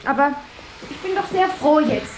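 Radio play secretary: high, swooping voice, chatty, flighty, placating, slightly noisy old recording. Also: female voice, lively intonation, normal speed. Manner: rambling, babbling small talk, eager to placate. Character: chatty, flighty, deferential female office secretary. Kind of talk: 1970s German radio play acting.

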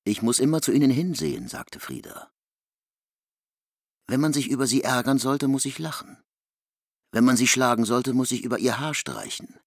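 A male storyteller in a literary radio play. His creaky voice moves widely in pitch as it carries the words of troubled characters. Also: expressive, normal speed, pauses often, no echo, studio quality. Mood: confessing, restless. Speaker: narrator.

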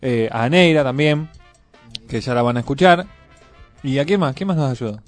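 A man's voice: mid-range voice, lively intonation, normal speed, soft background music. A man with a Buenos Aires accent, casually chatting as a radio host. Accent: Buenos Aires Argentine. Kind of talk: casual chat introducing a segment.

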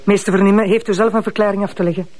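An actress with a Flemish accent playing a bossy, by-the-book deputy prosecutor in a TV crime drama, formal and exasperated in manner. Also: female voice, medium pitch, steady tone, racing, a little mellow, a little hiss or hum, lightly acted. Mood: stern, formal. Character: stern, by-the-book female deputy prosecutor in charge. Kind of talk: naturalistic TV crime drama acting.

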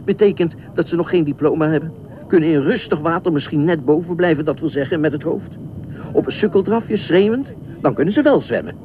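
An actor in a Dutch radio play as an anxious, obsessive widowed ex-fireman who speaks haltingly, repeats himself and nitpicks. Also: natural voice. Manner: halting, repetitive, pedantic, fretful. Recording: muffled narrow-band old radio recording, faint rumble.

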